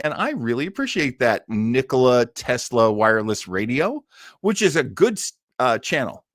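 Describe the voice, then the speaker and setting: A man's voice: mid-range voice, no echo, clean studio sound. A man casually introducing old-time radio shows as a host, in a rambling way.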